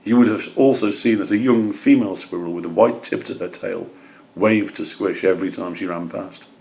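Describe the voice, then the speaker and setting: Low, creaky-voiced man reading a story. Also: darkish tone, rough recording. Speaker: story reader. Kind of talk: children's storybook narration.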